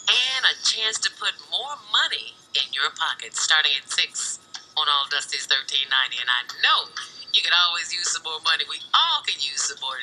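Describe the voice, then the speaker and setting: A very bright, forceful American woman's voice in upbeat radio DJ style, with music playing underneath. She is cheerful and projects clearly like a gospel station announcer on a broadcast microphone. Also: fairly low pitch, poor audio. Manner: cheerful, greeting listeners and reading dedications. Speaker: radio announcer and guest host. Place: radio studio. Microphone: broadcast studio microphone.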